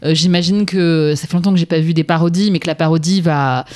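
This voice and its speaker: A woman's voice: lowish voice, darkish tone. A woman, a film critic on a podcast, giving frank, opinionated takes in casual talk. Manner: frank, opinionated, quick to laugh.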